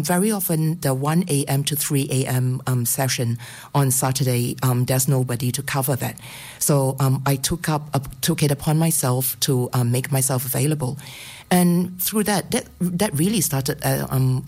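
Woman, very deep voice, middle-aged, from Singapore, hesitant faith testimony. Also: dark tone. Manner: hesitant, many ums and restarts. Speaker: guest giving testimony. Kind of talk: personal faith testimony on radio.

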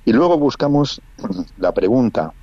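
A man's voice: medium pitch, very animated, unhurried, dark tone, no echo. A man from Spain, giving a casual radio report with frequent fillers and restarts. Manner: conversational, many restarts and fillers. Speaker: radio correspondent. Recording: muffled lo-fi radio audio.

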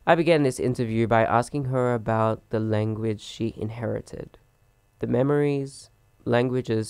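A man hosting an interview, reading an introduction and asking questions in a slow, measured way. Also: medium pitch, darkish tone, clear, resonant tone, no echo. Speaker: podcast host.

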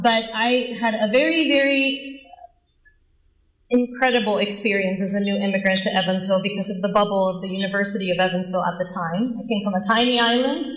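A woman in her forties from the Dominican Republic, giving an earnest, personal presentation as a nonprofit leader. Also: medium pitch. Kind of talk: presentation talk. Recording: echoey room.

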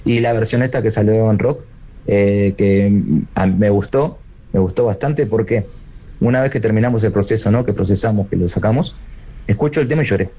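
A man with an Argentine accent, a songwriter guest speaking by phone, talking haltingly with many fillers and restarts. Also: on the low side, mellow, slightly rough, lo-fi sound. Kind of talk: phone interview.

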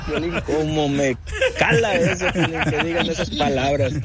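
Man, caller, fairly high voice, laughing through his joke, loud crowd behind him. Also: steady tone, moderate pace. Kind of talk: voice message to a radio show.

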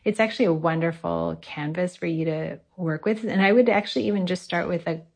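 A woman's voice: on the low side, a little fast, gravelly. A woman giving casual, conversational cooking advice as an expert answering callers.

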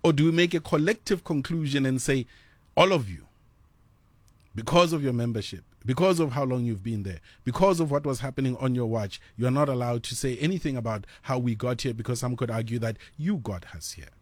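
A South African man hosting a radio talk show, pausing and repeating words, then building to pointed rhetorical questions. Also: medium pitch, darkish tone, dry recording.

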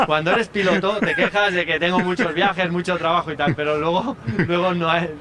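A man's voice: high voice, fairly even intonation, moderate pace, a crowd in the background. A man from Barcelona with a slight Catalan accent, a guest in a casual interview, who talks hesitantly with many fillers and restarts. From Barcelona, Spain. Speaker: guest, team executive and former racing driver. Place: team garage at a racetrack.

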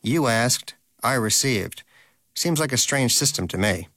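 A man's voice: medium pitch, moderate pace, fairly bright tone, dry recording, clean studio sound, pitched above the performer's own voice, close to an everyday voice. A man voicing a snobby, sarcastic male pet hamster in a storybook reading, mocking and scornful. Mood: smug.

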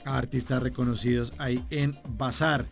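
A man's voice: medium pitch, flat delivery, moderate pace, gravelly, soft background music. A man with a Bogotá Colombian accent hosting a casual interview in a jovial, colloquial way, repeating phrases for emphasis. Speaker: radio show host interviewing a guest. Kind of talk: casual, chatty broadcast interview.

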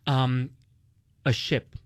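A man's voice: mid-range voice, little pitch movement, extremely slow, smooth, no echo. Man, Romanian accent, chatty explainer, lots of fillers, dry close sound. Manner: uses many "like" and "you know" fillers, explains with analogies. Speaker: investment guest. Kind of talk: casual explanatory conversation.

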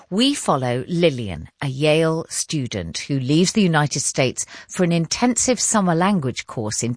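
A woman's voice: low-pitched, dry recording, studio quality. A woman hosting a radio arts show, chatting with a guest and reading scripted introductions. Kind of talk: presenting and conversational interviewing.

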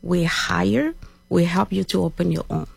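Cameroonian lady being interviewed, calm measured talk, slightly low voice with some fry. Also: dry recording. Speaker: interview guest, business owner. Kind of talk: conversational interview.

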